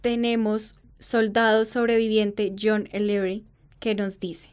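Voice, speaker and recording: A woman acting as a solemn female radio reporter from the war years, with a clear, resonant, mid-pitched voice. She reads news in a formal, measured announcer style for an audio drama. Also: completely flat delivery, a little mellow, natural voice. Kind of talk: scripted radio news narration.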